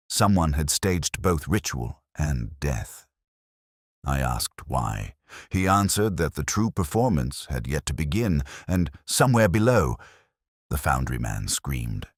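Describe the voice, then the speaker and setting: A man reading a Victorian detective mystery as a measured, literary first-person story. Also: a bass voice, no room sound, studio quality. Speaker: audiobook narrator.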